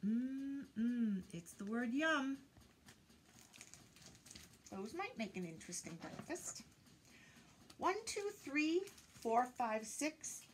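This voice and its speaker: An older woman reads a picture book aloud to young children, counting in a deliberate singsong and prompting them with questions. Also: fairly high pitch, a little hiss or hum. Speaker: children's teacher reading aloud. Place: indoors, small room lined with bookshelves.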